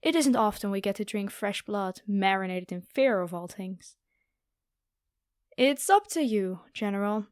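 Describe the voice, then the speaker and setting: A woman's voice: medium pitch, measured pace, clear, resonant tone, no room sound, clean studio sound, close to an everyday voice. A woman playing a haughty, predatory noble vampire girl in an ASMR roleplay, with a taunting, playful, theatrical delivery. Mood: commanding, casual.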